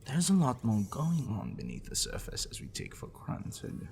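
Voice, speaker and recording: A man playing a possessive, smitten professor in an intimate ASMR roleplay, wordy and clinical, with teasing, musing pauses. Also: medium pitch, huge pitch swings, creaky, a little mellow, a little hiss or hum. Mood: pedantic, musing.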